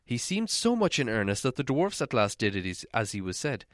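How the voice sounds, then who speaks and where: A man's voice: mid-range voice, big pitch swings, brisk, fairly bright tone, no echo, studio quality. A man reading scripted storybook narration as an audiobook narrator.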